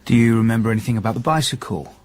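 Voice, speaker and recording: A man's voice: mid-range voice, rapid-fire, close to an everyday voice. Actor as dry, laconic male detective. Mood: calm, probing. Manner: deadpan, laconic, probing. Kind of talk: radio drama acting.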